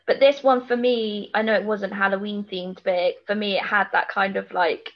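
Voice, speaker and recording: A woman's voice: mid-range voice, fairly even intonation, moderate pace. A British woman co-hosting a podcast in informal, colloquial casual chat, on a slightly dull recording. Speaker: podcast co-host.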